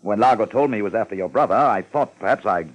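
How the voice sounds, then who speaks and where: A man playing a nervous, defensive suspect in a 1950s radio drama, halting and pleading. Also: lowish voice, dull audio, lightly acted. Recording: early 1950s radio broadcast.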